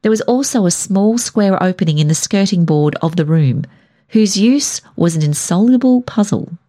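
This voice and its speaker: A woman reading a short story as scripted narration, in a measured storytelling manner. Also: mid-range voice, expressive, dark tone. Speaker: story reader.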